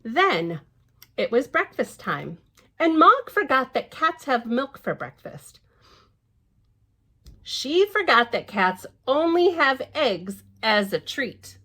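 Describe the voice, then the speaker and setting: Woman, children's storytime reader, bright voice, unhurried pace, sing-song, chatty asides. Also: sits high. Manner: animated, sing-song, repeats phrases and affirms herself.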